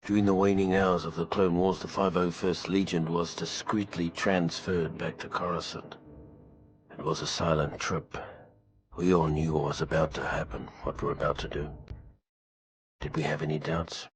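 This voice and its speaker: An actor playing a weathered veteran clone trooper, narrating his war memoirs for a video game in a measured, grave, wry soldierly manner. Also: sits low, flat delivery, close to an everyday voice. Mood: somber, reflective. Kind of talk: scripted in-character journal narration for a game. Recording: music behind the voice.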